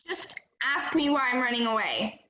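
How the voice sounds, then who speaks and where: A young woman in an amateur stage play, acting a melodramatic, chatty runaway high school senior who tells her story earnestly. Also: lively intonation, moderate pace, bright, forceful tone, highs cut off. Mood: indignant. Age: young adult. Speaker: student actor in a play. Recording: roomy, echoing sound.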